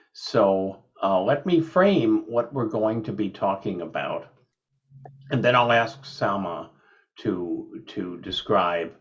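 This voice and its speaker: Slow, deliberate male podcast host. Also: lowish voice. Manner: slow, deliberate, with many fillers. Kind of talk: framing a video call discussion. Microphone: video call. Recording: dull lo-fi call audio.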